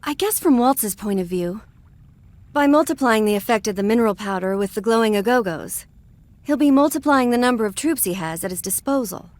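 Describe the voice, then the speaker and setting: A woman's voice: fairly high pitch, animated, a little fast, a little mellow, dry recording, close to an everyday voice. A woman voicing a confident, sarcastic female rebel fighter in a video game, curt and sardonic. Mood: impatient, confident.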